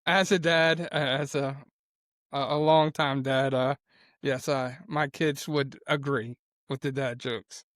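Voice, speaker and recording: Guy co-hosting a podcast, lots of uhs, smooth voice, dry close mic. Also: medium pitch, steady tone, takes it slowly, fairly bright tone, dry recording. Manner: hesitant, many uhs. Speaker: podcast co-host.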